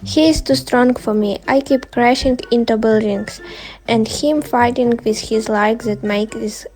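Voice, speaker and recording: A woman's voice: a little high, steady tone, unhurried, a little mellow, rough recording. A woman performing a dramatic comic dub as narrator and voice actor. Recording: music behind the voice.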